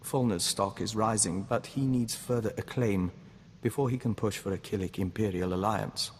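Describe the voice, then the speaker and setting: An actor playing a calm, dutiful diplomat bound to a hive mind, speaking formally in video game dialogue. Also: male voice, fairly low pitch, husky, big-room sound. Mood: earnest, subdued. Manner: formal, measured, speaks of himself as we.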